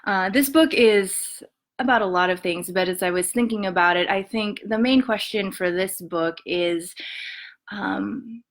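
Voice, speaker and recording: A woman poet reads her verse in a measured, quiet way and then talks about her book in a soft, halting voice full of ums. Her voice sits at an ordinary middle pitch. It sounds picked up by a webcam microphone at home. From Montana and western Colorado, USA. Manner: soft-spoken, many ums, measured reading. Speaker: poet reading her work. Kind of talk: poetry reading with spoken introductions.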